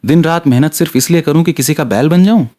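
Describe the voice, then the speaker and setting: A man's voice: on the high side, fast, warm tone, pitched above the performer's own voice, close to an everyday voice. A man voicing a lazy, bluntly cynical young man who argues with mock indignation. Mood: indignant.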